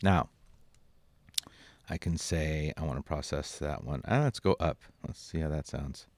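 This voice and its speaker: Low-voiced man giving a casual tutorial. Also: a little slow, a little mellow, dry recording. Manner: informal, thinking aloud. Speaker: audio software instructor. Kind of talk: casual screen-recorded tutorial narration.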